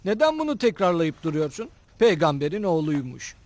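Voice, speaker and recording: A high male cartoon voice for a sneering, bullying caravan hand. He talks rudely, taunting with open scorn. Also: big pitch swings, fairly quick, darkish tone, no room sound. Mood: mocking. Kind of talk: cartoon dubbing.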